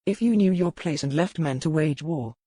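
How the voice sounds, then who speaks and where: A woman's voice: low-pitched, normal speed, dark tone, dry recording, studio quality. A woman narrator playing a suspicious, accusing medieval man-at-arms who speaks with curt scorn. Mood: scornful, accusing.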